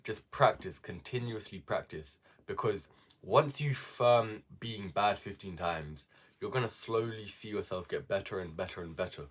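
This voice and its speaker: A man's voice: fairly low pitch, moderate pace. A young man giving casual self-improvement advice to viewers, repeating key words for emphasis.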